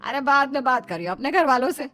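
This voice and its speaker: A bossy middle-aged village mother-in-law , acted by a woman narrator, raised and high in pitch. She gives orders and grumbles in a homely, exclamatory manner, as in a cartoon storybook, over faint music. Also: expressive, fast talker. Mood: bossy, complaining. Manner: loud commanding calls, exclamatory homely grumbling.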